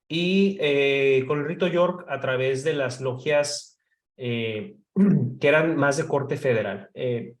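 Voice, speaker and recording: A Mexican man with a slight northern accent, co-hosting a casual panel podcast and explaining history with many fillers and restarts. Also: mid-range voice. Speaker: podcast co-host. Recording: roomy echo.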